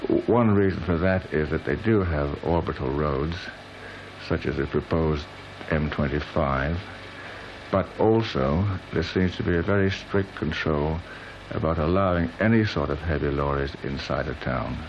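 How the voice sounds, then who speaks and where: A man giving spoken personal testimony in measured, explanatory phrases. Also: very deep voice, low-quality recording. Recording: faint background noise.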